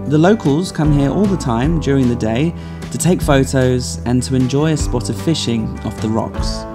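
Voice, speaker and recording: Male travel vlogger, mid-pitched, easygoing and chatty, guitar music playing underneath. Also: moderate pace. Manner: easygoing, conversational. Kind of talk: travel vlog narration.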